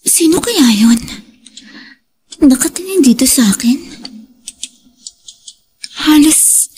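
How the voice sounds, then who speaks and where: A woman's voice: high voice, expressive, takes it slowly, a little mellow, a little hiss or hum. A woman with a Filipino accent playing a caring, wary housewife and mother in an audio drama. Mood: wary, alarmed.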